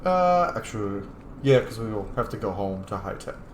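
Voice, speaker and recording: A man's voice: on the low side, sing-song, normal speed, echoey room, slight background noise. A man giving casual playthrough commentary as a game streamer, playfully talking back to the game.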